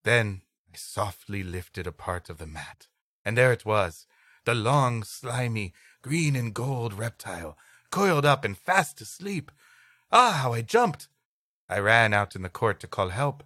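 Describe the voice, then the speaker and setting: A man's voice: medium pitch, animated, a little slow, pushed and bright, dry recording, clean studio sound. A man co-hosting a comedy podcast, reading an old adventure story aloud expressively and dropping in playful asides. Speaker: podcast co-host.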